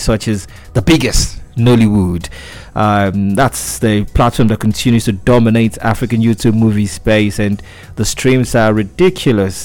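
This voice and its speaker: A man's voice: medium pitch, a little mellow. A man hosting a radio show, chatting through entertainment news with lots of fillers and restarts.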